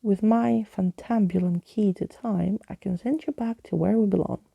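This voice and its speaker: A woman's voice: medium pitch, moderate pace, gravelly, husky, no echo, lo-fi sound. A woman voicing a cocky, showy living skeleton in a storybook reading, scoffing and smug toward his rival.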